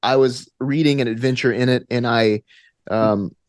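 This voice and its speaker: A man's voice: medium pitch, fairly even intonation, normal speed, no echo. Man chatting casually, close dry sound. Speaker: podcast guest, gamer and game master. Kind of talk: casual conversational interview.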